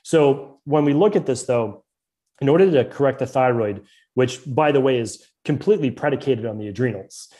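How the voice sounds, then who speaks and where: A middle-aged man with a Boston accent talks through medical ideas at length, earnest and thorough, often restarting a phrase to get it right. His voice is mellow and dark, sitting at an ordinary pitch for a man. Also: moderate pace. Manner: earnest, detailed, fluent medical explaining with frequent restarts. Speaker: naturopathic doctor, podcast guest. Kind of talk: explanatory interview talk.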